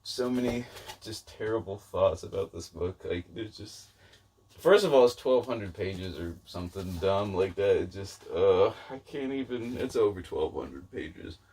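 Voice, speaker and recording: A man's voice: sits low, expressive, a little slow, darkish tone, recorded from a distance, a little hiss or hum. A man giving a casual, rambling book-review chat to viewers, informal and self-deprecating in his delivery. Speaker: book vlogger.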